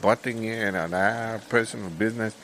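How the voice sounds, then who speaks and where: A man's voice: on the low side, moderate pace, fairly bright tone, slight background noise. A man playing a proud, easily affronted householder, protesting formally in improvised audio comedy. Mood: bored, conceding. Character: proud, affronted man defending his home and mother.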